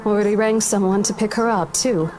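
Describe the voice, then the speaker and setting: A woman's voice: medium pitch, a little fast, darkish tone, lightly acted. Estate agent, visual novel female actor: mid-range voice, professional, placating, apologetic. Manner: placating, businesslike.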